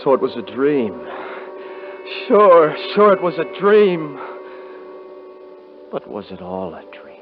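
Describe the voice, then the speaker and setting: An actor playing a frightened young hunter who also narrates, in a 1950s radio drama, stammering and panicky. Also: male voice, on the high side, very animated, slow, pauses often, faint music behind. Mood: tense narration. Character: lone young male hunter, frightened, haunted. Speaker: narrator and lead character. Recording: dull old radio broadcast.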